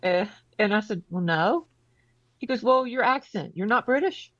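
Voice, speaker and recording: A woman's voice: mid-range voice, lively intonation, moderate pace, a bit bright, dull audio. A woman with a Southern US accent co-hosting a casual chat and plainly telling an anecdote. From American South. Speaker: co-host.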